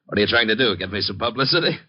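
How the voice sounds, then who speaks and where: A man's voice: lowish voice, lively intonation, racing, husky. Jittery washed-up tough guy, tinny old radio. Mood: boastful bluster. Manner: slangy, blustering, defensive. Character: washed-up, jittery ex-football-star private eye, boastful and desperate. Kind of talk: 1950s radio drama acting.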